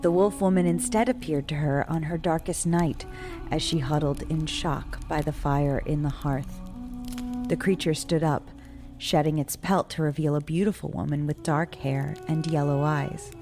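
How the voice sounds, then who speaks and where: Woman, low-pitched, storyteller, measured solemn dramatic narration, dark folk tale, music underneath. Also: steady tone. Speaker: storyteller, guest writer reading own story.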